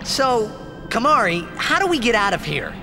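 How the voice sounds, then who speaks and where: An actor playing a boyish, loyal teenage athlete hero in a video game, casual, upbeat and earnest. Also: male voice, extremely high pitch, big pitch swings, normal speed, long reverb tail, over music, full character voice.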